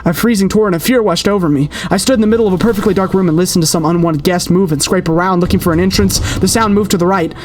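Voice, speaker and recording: A man's voice: high voice, fast talker, hardly any pauses, a little hiss or hum. A man reading a horror game's story aloud with theatrical, suspenseful delivery, as a player narrating.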